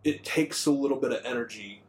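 A man's voice: medium pitch, a little fast. A man of about thirty co-hosting a podcast in a rambling, conversational way. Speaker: podcast co-host. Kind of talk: casual chat.

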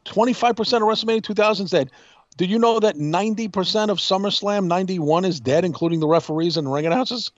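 Male podcast co-host, slightly high voice, emphatic casual chat that circles back on points, muffled lo-fi sound. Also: fast. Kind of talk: casual wrestling talk.

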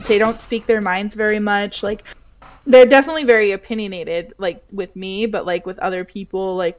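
A woman's voice: medium pitch, low-quality recording. A young woman co-hosting a podcast in casual chat, blunt and profane, full of "like" and "you know". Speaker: podcast co-host.

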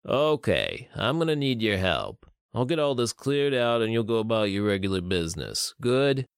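A man voicing a gruff, businesslike older criminal for an audiobook, with a curt, commanding drawl. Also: mid-range voice, big pitch swings, moderate pace, dry recording, studio quality. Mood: calm, commanding.

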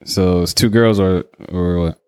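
A man's voice: deep voice, fairly even intonation, unhurried, warm tone, clear, resonant tone. An American man hosting a podcast in casual, slangy interview chat. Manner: informal, slangy, swearing. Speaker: podcast host.

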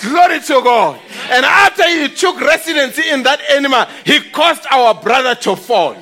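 A man preaches with great fervor in a very high-pitched voice. It has a gravelly grain and a sharp, forceful edge. He declaims in short, emphatic phrases punctuated by exclamations. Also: animated, moderate pace. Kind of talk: fervent, declamatory sermon.

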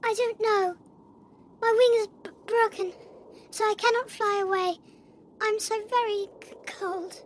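A little injured bird with a very high, trembling voice. She stammers and sounds small and cold. Faint hiss sits behind her. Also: steady tone, a little slow, pauses often. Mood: frightened.

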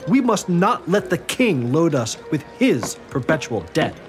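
A man's voice: fairly high pitch, very animated, a little slow, faint music behind. An actor playing a principled statesman who speaks in a formal, proper way.

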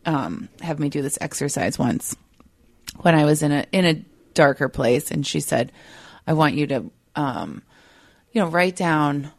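A woman's voice: deep voice, expressive, a little slow, no echo, studio quality. A woman with a Minnesota accent hosting a conversational podcast, playful and self-deprecating. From Minnesota, United States. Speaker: podcast host.

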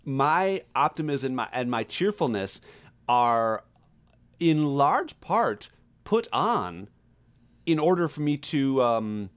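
Man, middle-aged, medium pitch, podcast co-host, informal personal chat, halting phrasing. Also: very animated, a bit bright. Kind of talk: casual, personal discussion.

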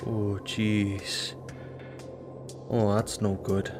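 A man playing a subdued, hesitant police colleague in an audio drama, with a halting, understated delivery. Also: on the low side, little pitch movement. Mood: subdued, concerned. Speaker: voice actor. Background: music.

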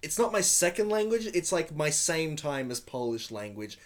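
A man on a casual movie podcast, answering with dry, deadpan humor. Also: fairly high pitch, normal speed. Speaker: podcast co-host.

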